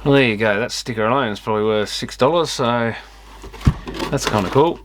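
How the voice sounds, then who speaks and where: A man's voice: mid-range voice, fairly quick. A man giving casual, off-the-cuff commentary as he looks over gear, muttering and mildly grumbling to himself. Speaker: electronics repair vlogger. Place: electronics repair workshop. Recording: clicky, clearly noisy external camera mic.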